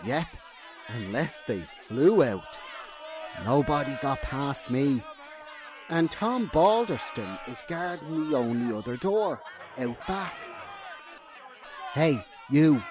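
An actor playing a slangy, good-natured beat cop in a radio crime drama, with a blunt, barking way of talking. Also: male voice, mid-range voice, animated, takes it slowly, pauses often, over music. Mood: gruff, ordering. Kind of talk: old-time radio crime drama acting. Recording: crowd murmur behind the voice.

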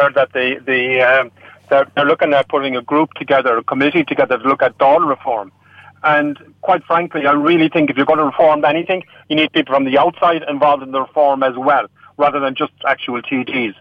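A man's voice: medium pitch, steady tone, no room sound. A man from an Irish-speaking region, a native Irish speaker with an Irish accent, speaking as an election candidate on a radio panel with frequent restarts. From Irish-speaking region of Ireland. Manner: halting, many restarts. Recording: tinny phone line.

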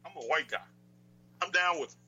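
A middle-aged man with a deep voice, co-hosting a casual chat and telling stories with wry jokes. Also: brisk, pushed and bright, a little hiss or hum. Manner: storytelling, wry, joking. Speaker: podcast co-host. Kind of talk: casual chat about wrestling.

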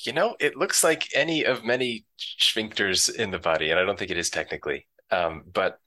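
Deep-voiced man on a video call. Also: fairly quick, pushed and bright, low-quality recording. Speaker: podcast guest, science educator. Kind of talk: casual conversation.

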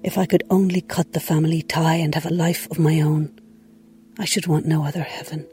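A man reading a historical text aloud in a measured, solemn way. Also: fairly high pitch, flat delivery, a little mellow, soft background music.

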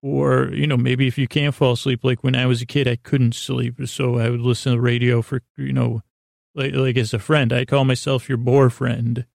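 A man hosting a bedtime podcast in a meandering, halting, self-deprecating monologue with a whimsical streak. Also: medium pitch, level tone, creaky, a little mellow, no room sound, studio quality. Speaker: host of a bedtime sleep podcast.

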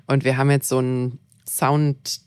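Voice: medium pitch, level tone, normal speed, darkish tone, clean tone, no room sound, clean studio sound. A podcast co-host chatting informally and talkatively, with a joking streak. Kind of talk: casual podcast chat.

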